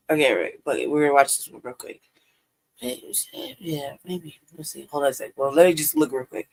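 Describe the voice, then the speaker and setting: A man's voice: on the high side, normal speed, gravelly, poor audio. Man hosting a livestream, loose and rambling. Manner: loose and rambling, drops to a near whisper at times. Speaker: live stream host. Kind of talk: casual commentary reacting to videos.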